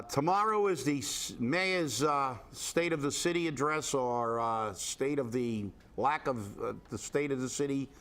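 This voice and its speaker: A man's voice: medium pitch, fairly bright tone. A man hosting a local political commentary show, stuffed up with a head cold, talking in an indignant, rambling way with many restarts. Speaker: host.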